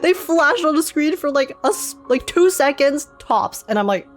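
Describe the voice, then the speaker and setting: A young woman doing casual, giggly and expressive reaction commentary as she streams a game. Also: high voice, normal speed. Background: game music.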